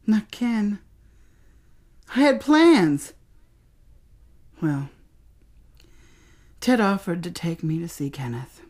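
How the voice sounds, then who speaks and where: An American woman with a low-pitched voice tells a personal story as a spoken-word piece. Her delivery is theatrical, shaped by dramatic pauses and weighted emphasis on key words. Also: darkish tone.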